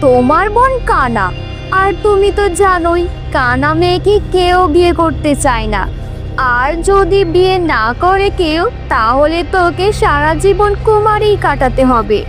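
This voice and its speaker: Cartoon villainess, voiced by a woman: young daughter-in-law, greedy and scheming, very high voice, loud music behind. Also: animated, unhurried. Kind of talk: children's cartoon voice acting.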